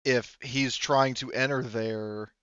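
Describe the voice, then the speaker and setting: A man's voice: mid-range voice, steady tone, slow pace, fairly bright tone, clean tone, lo-fi sound. A man talking casually as the game master of a tabletop roleplaying session.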